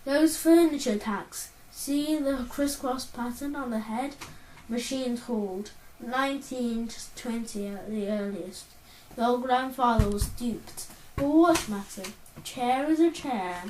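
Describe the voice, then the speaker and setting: A woman's voice: on the high side, slow, a little mellow, a little hiss or hum. A woman voicing a cold, clever teenage boy genius who talks in a clipped, coolly superior way, in a storybook reading. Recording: roomy, distant mic.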